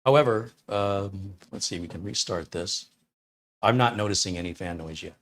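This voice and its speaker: Low-voiced, chatty older tech reviewer man. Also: normal speed, fairly bright tone. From Detroit, USA. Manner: rambling, talkative, blunt opinions. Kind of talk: casual live-stream chat answering viewer questions. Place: small home studio room.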